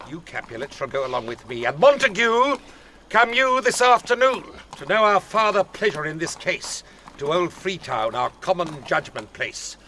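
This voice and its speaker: An actor playing an angry, commanding prince, declaiming imperiously in a Shakespearean audio drama. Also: male voice, sits high, very animated, normal speed, bright, forceful tone, slight background noise, full character voice, rough recording. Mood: stern command. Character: enraged, commanding prince rebuking his brawling subjects.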